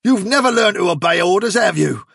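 A furious storybook rabbit played by a man, raised well up in pitch and husky at the edges. He scolds and sneers with heavy sarcasm, hitting his words hard. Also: lively intonation, brisk, a bit bright, no room sound, clean studio sound, heavily acted. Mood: furious scorn. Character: furious, blustering, scornful male rabbit fighter. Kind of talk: storybook character dialogue.

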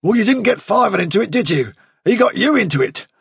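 A bold, headstrong buck rabbit, as a man puts him on in a storybook reading, pitched well above the reader's own voice and loud. He speaks bluntly and forcefully, in a slightly husky tone with a dry sound. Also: sing-song, fast, dry recording, heavily acted. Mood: impatient.

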